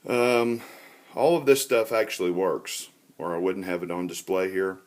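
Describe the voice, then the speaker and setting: Guy with a lowish voice showing off his collection, kinda halting, matter of fact. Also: steady tone, darkish tone. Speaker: hobbyist radio collector. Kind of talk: informal show-and-tell tour narration.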